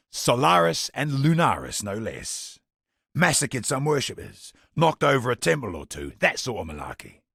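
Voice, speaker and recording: An actor playing a chatty, wry merchant in a fantasy video game, with a gravelly, husky voice. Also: male voice, medium pitch, a bit bright, no room sound, studio quality, heavily acted. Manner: colloquial, wry storytelling with British slang. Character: roguish, chatty male merchant, wry and worldly. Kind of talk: scripted video game character dialogue.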